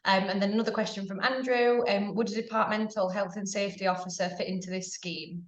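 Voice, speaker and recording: A woman hosts a webinar over a video call and reads out questions in a calm, level way. Her voice sounds roomy and muffled, as if the sound is dulled. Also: mid-range voice, fast talker. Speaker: session host.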